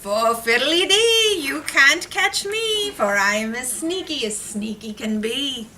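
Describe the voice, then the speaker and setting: Lady doing a mischievous lil leprechaun for storytime, high sing-songy taunting, mic kinda far away. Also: big pitch swings, slow, pushed and bright, heavily acted. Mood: sly, boastful. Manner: teasing, sing-song rhyming. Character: sneaky, mischievous rhyming leprechaun trickster. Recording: noisy classroom, distant mic.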